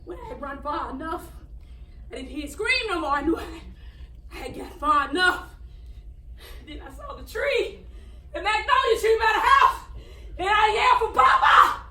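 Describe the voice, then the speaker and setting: A woman performing a dramatic monologue as a frightened, defiant young country girl, telling her story haltingly and with great emotion. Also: expressive, pushed and bright, exaggerated voice. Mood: frantic, pleading. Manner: halting, repeating words, highly emotional storytelling. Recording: echoey room, distant mic, faint noise.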